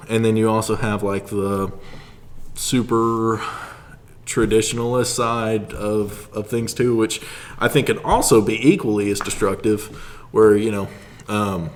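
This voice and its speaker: A man as a podcast guest, chatting casually and telling rambling stories in which he acts out what people say. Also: lowish voice. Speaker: podcast guest, martial artist.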